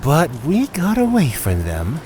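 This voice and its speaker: A man's voice: a little high, huge pitch swings, unhurried, a little mellow, slight background noise. A man playing an uncertain, sympathetic cave-dweller in a fantasy audio drama, hesitant and formally worded. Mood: uneasy.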